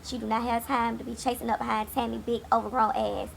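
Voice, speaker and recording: A woman with a raspy voice from a cold, giving a sassy, blunt, swear-heavy casual recap of a TV episode late at night. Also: a little high, level tone, quick pace, a little hiss or hum. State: hoarse, getting over a cold. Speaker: TV recap host.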